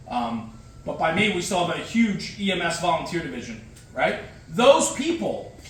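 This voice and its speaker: A man's voice: high-pitched, a bit bright. Guy giving a punchy motivational speech, really echoey, mic far off, repeats himself for emphasis. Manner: emphatic, punchy short phrases with rhetorical questions and repetition. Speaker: guest speaker, firefighter. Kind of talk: motivational conference talk. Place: echoey indoor room, speaking to an audience. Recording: echoey room, distant mic, faint noise.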